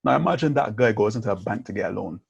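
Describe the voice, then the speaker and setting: A man's voice: on the low side, expressive, racing, creaky, slight background noise. A man who grew up in Barbados, hosting a podcast in a casual conversation, telling stories animatedly and often checking in with "yeah?" and "right?". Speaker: podcast host.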